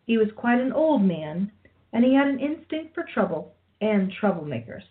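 A woman's voice: on the high side, big pitch swings, normal speed. Woman narrating over a phone-like line. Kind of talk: scripted radio play narration.

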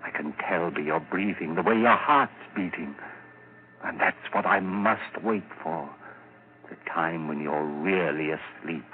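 An actor playing a tormented, obsessive murderer in a hushed, halting 1940s radio horror style. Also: male voice, sits low. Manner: hushed, halting dramatic monologue. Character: tormented murderer whispering to himself, stealthy and obsessive. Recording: muffled, noisy 1940s radio recording.

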